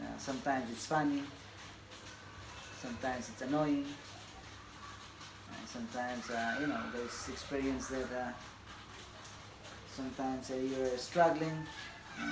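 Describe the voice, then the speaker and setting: A Filipino man in his forties, whose first language is Bisaya, speaks slowly and haltingly, filling his pauses with uhs. His voice has a gravelly rasp to it. The recording sounds echoey, with clear background noise. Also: mid-range voice. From Philippines. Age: 40s. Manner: halting, many fillers, laughs often. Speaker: tennis coach. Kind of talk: casual self-introduction to camera.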